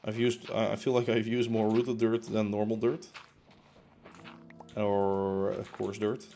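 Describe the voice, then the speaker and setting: A man's voice: on the low side, flat delivery, measured pace, mellow, slight background noise. A young man streaming from his apartment as he builds in a game, chatting casually and rambling with lots of fillers and restarts. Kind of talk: casual chat while building. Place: home room in a concrete apartment.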